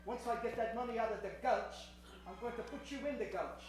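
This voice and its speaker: A man's voice: extremely high pitch, fairly quick, far from the mic. A man playing a menacing, gloating Old West outlaw in an improvised radio comedy. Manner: threatening, sneering. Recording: echoey hall from afar, music behind.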